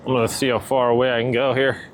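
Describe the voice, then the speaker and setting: A man's voice: medium pitch, level tone, fast, noisy background. A man giving a casual, unscripted product review outdoors, talking in a loose, informal way and often checking in with questions. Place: outdoors near water, walking.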